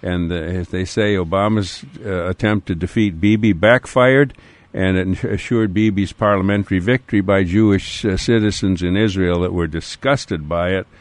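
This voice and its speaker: An American man co-hosting casual political talk radio, speaking in a halting way with frequent uhs. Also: on the low side, a little mellow, dry recording. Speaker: radio co-host. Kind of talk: casual political talk, reading listener mail.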